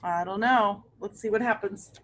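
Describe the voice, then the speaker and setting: A woman's voice: mid-range voice, normal speed, a bit bright. A woman reading a picture book aloud to children, with chatty asides and questions to her young listeners. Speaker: read-aloud storyteller for children.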